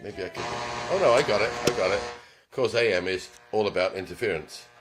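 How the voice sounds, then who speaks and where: A man demonstrating a car radio in his small repair shop, talking casually, with ums and throat clearing. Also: lowish voice, fairly quick, poor audio. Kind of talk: casual hands-on product demonstration. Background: car radio playing music.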